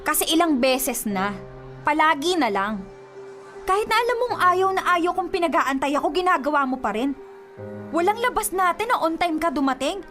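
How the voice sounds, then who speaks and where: A woman's voice: sits high, big pitch swings, moderate pace, fairly bright tone, faint music behind. An actress playing a nagging, exasperated young girlfriend in a Filipino radio drama, scolding and sulky. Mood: angry.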